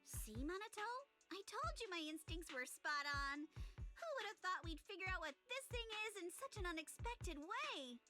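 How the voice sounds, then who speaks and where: High, cheeky, excitable girl. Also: moderate pace, soft background music, exaggerated voice. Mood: excited. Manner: cheeky, animated. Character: brash, mischievous girl detective, excitable and teasing. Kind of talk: English video game voice acting.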